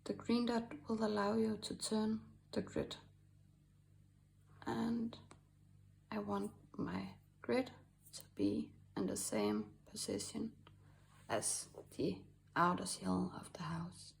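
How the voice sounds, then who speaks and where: A woman with a Danish accent talks through a drawing lesson at an unhurried pace, pausing often with fillers. Her voice has a gravelly edge, and a faint hiss sits under the recording. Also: mid-range voice, expressive. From Denmark. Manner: halting, many fillers, soft asides. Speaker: art tutorial host. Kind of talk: screen-recorded drawing tutorial.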